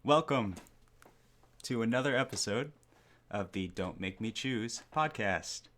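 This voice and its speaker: A young man with a fairly low voice hosts a casual streamed podcast. He talks in a loose, easygoing way, tossing in wry little jokes as he goes. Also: measured pace. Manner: relaxed, chatty, with frequent ums and self-deprecating jokes. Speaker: podcast host. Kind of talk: casual livestream podcast chat.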